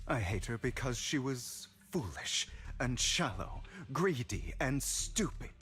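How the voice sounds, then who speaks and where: A man playing a proud young man with a bitter past in a video game, measured, self-assured and dramatic. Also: medium pitch, fairly bright tone.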